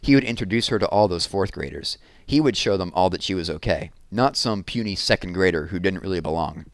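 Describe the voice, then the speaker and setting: A man narrating a children's book aloud with expressive storytelling. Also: low-pitched, fairly quick, no room sound.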